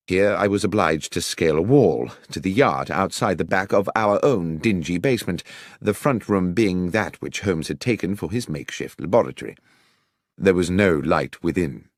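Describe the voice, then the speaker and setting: A British man reading a detective story as a dramatic audiobook narrator, in a measured, literary and expressive storytelling manner. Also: deep voice, dry recording, studio quality.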